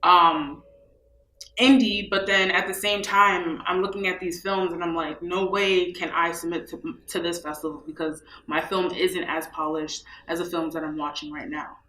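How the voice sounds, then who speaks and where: A woman's voice: fairly low pitch, steady tone, normal speed, sharp tone, roomy sound, low-quality recording. A young woman from New York, a film festival co-founder, speaking in a casual interview with many fillers. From New York, USA. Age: young adult.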